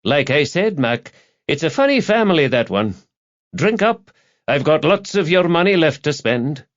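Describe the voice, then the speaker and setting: Scruffy male reporter, voiced by a man narrator: sharp, swaggering, prying, with very animated, raised intonation. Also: fairly high pitch, moderate pace, lo-fi sound, pitched above the performer's own voice. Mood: cocky. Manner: probing, blunt, swaggering.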